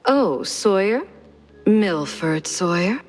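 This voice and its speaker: A woman's voice: medium pitch, expressive. Languid, theatrical socialite mouse lady. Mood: coy, curious. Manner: languid, arch, drawn-out words. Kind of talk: film noir video game voice acting. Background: music.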